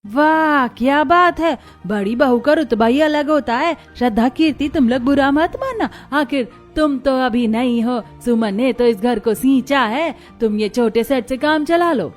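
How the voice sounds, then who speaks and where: A woman playing a gushing, meddling, sharp-tongued aunt with a showy, taunting manner in a story drama. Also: high voice, measured pace, few pauses. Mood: slyly taunting. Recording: music behind the voice.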